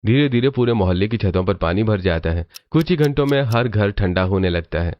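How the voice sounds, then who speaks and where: A man's voice: fairly low pitch, animated, fairly quick, no echo, dull audio. A man reading scripted story narration.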